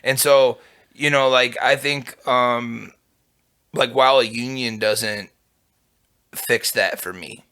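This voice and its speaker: A young man with a low voice, rambling casually on a podcast, with constant fillers and swearing. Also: fairly even intonation, takes it slowly, long pauses, bright, forceful tone, smooth. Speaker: podcast guest. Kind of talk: casual chat.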